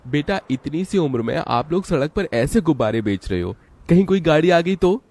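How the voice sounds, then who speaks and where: A man playing a kindly, concerned father in a cartoon story, speaking gently. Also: a little high, animated, moderate pace. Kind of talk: cartoon bedtime story voice acting.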